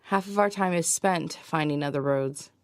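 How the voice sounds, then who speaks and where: A low-pitched female voice with a long reverberant tail. A woman plays a weary, determined survivor of an invasion, telling her own story as audio drama and slipping into dialogue. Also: normal speed. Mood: concerned, insistent. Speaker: narrator and lead actor. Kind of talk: first-person audio drama narration with acted dialogue.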